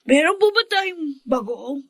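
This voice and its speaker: A woman's voice: sits high, big pitch swings, measured pace, a bit bright, no room sound, exaggerated voice. A woman playing an earnest, caring young boy from a poor family in a radio drama. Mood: concerned, curious. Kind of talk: Filipino radio drama acting.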